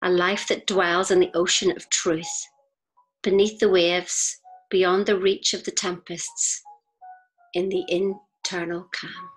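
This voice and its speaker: Woman, somewhat low voice, scripted inspirational reading, deliberate phrasing, soft music behind. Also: level tone, slightly rough, rough recording. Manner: measured, deliberate phrasing with pauses. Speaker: audiobook reader. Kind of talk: scripted narration of an inspirational essay. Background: faint music.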